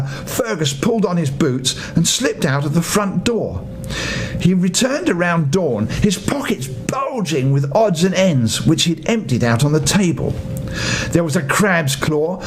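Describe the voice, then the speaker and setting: An older man reading a bedtime story to children, playful and expressive, speaking to his young listeners. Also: sits high.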